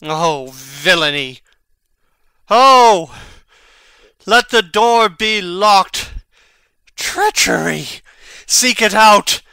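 An actor playing a bold, biting young prince with crisp theatrical diction in a Shakespeare audiobook. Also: male voice, sits high, animated, drawn-out words, pauses often, sharp tone, no room sound, heavily acted. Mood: outraged, vengeful. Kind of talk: dramatic Shakespeare audiobook reading.

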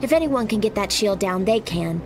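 A woman's voice: medium pitch, animated, normal speed, heavy background noise, natural voice. A woman playing a confident teenage Jedi apprentice in a video game, spirited and flippant. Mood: cheeky. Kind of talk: video game cutscene acting.

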